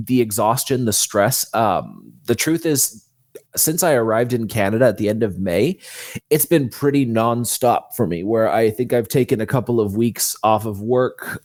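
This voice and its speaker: A man's voice: medium pitch, expressive, normal speed, a little mellow, no echo, clean studio sound. A man speaking as a guest author in a conversational interview, explaining things earnestly.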